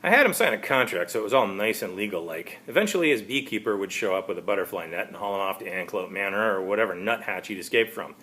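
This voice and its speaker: Halting, wry man with lively intonation. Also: lowish voice. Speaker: author reading his own story. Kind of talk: amateur first-person story narration.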